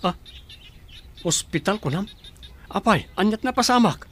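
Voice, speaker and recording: Brief: a returning husband in a radio play, slightly high voice, earnest. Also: male voice, lively intonation, a little slow, fairly bright tone, husky, full character voice. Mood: concerned. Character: husband returning home from abroad.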